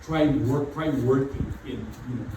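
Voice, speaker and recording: A man's voice: mid-range voice, a little slow. Echoey distant male preacher. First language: not English. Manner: repeats phrases for emphasis, many "you know" fillers. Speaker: pastor. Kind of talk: sermon. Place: church hall. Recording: very echoey hall, far from mic, background noise.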